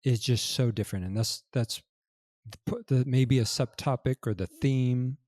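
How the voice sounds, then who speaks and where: A middle-aged man co-hosting a casual podcast conversation, talking in a halting, thoughtful way. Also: mid-range voice, darkish tone, dry recording, studio quality. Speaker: podcast co-host.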